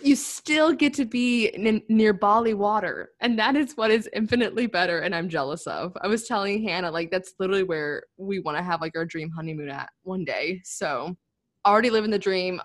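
A young woman co-hosts a podcast from an office in her apartment. She talks in a mid-pitched voice, chatty and upbeat, with lots of "like.". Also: a little fast. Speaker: podcast co-host. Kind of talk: casual podcast chat.

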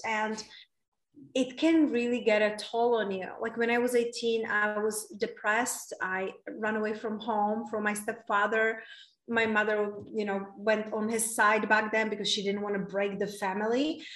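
An earnest woman with a Czech accent tells her story in a fairly high voice. She sits back from the microphone, so she sounds distant. The room around her is echoey. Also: little pitch movement, moderate pace, a bit bright. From Czech Republic. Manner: earnest, with frequent "like" and "right?". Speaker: podcast guest. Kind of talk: candid personal storytelling.

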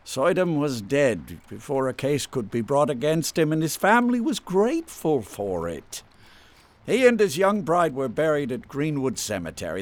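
An actor playing a controlled, patronizing doctor in a radio drama, speaking in a measured, clinical, condescending manner. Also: male voice, a little high. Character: controlled, patronizing male doctor questioning a patient.

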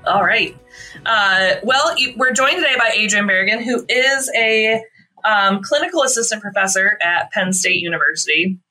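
A woman's voice: medium pitch, moderate pace, bright, forceful tone, soft background music, poor audio. A woman hosting a podcast interview in a friendly, chatty way. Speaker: podcast host. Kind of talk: casual interview.

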